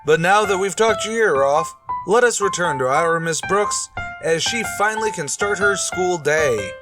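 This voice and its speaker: A man's voice: high voice, animated, normal speed, over loud music. A man announcing as a podcast host in an upbeat, scripted style, chatty and enthusiastic.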